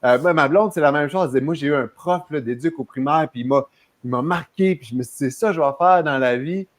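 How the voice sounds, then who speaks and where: A man's voice: fairly high pitch, fast. A man with a Quebec French accent hosting a podcast interview, talking in a loose, chatty way with many hesitations and restarts. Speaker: podcast host. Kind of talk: casual interview chat.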